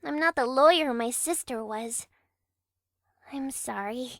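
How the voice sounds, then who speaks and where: A woman's voice: sits high, slow, a bit bright, no room sound, studio quality, full character voice. A woman playing an earnest, bubbly teenage girl in a fan audio drama. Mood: sheepish.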